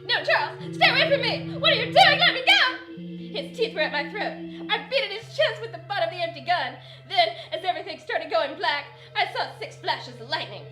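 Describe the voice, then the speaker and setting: A woman's voice: extremely high pitch, lively intonation, fairly quick. A woman playing a frantic, melodramatic wife in a 1940s-style radio drama, theatrical and breathless. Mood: terrified, melodramatic. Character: frantic, melodramatic, dim 1940s wife fleeing her vampire husband. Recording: echoey room under loud music.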